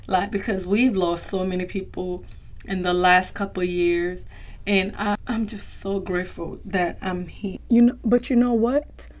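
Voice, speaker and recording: A young woman hosts her own podcast and talks straight to her listeners. Her talk is casual and heartfelt, full of fillers, and she calls them y'all. Her voice sounds a little strained, as if it has not fully come back. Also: medium pitch. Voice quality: voice slightly strained from recent voice loss. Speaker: podcast host.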